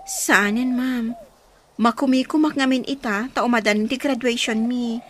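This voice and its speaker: A friendly young teacher played by a woman in a radio drama. Her voice is very high, with faint noise behind it. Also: measured pace, close to an everyday voice. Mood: respectful. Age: young adult. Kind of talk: Ilocano radio drama acting.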